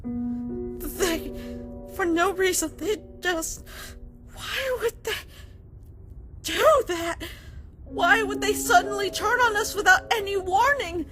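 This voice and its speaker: A woman playing a distraught, loyal female dog-monster guard, emotional and halting, in a melodramatic comic dub. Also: very high-pitched, animated, fairly bright tone, full character voice. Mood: shaken, grieving. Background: background music.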